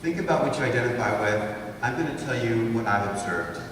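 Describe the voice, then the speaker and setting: A man's voice: mid-range voice, expressive, a little fast. A man in his fifties, originally from Honduras, giving a lecture to students in a large hall and posing rhetorical questions to the audience. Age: 50s. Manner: rhetorical, asks the audience to think. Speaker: guest speaker. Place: large wood-paneled hall, indoors. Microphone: far camera, no mic seen. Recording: echoey hall from far off, noisy.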